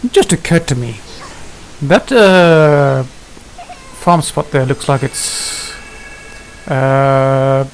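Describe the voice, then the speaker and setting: A gamer with a creaky, fry-laden voice at a middling pitch gives casual commentary on a game. The speech is muttered, like thinking aloud. A faint steady hiss sits under the voice. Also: extremely slow, long pauses, poor audio. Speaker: gamer, channel host. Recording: hissy microphone with steady noise.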